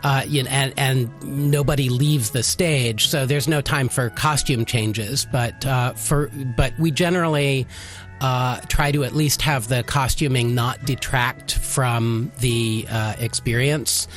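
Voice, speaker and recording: A man of medium pitch speaks as a guest in a radio interview. He explains haltingly, with many pauses and hesitations. Faint music runs behind his voice. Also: fairly even intonation. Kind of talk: casual explanatory interview talk. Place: college radio studio.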